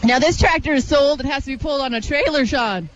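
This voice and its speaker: A woman's voice: high voice, fast. Canadian woman commentating, dull lo-fi sound. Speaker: radio co-host commentating on a parade. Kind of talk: live parade commentary, casual banter. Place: outdoors at a street parade.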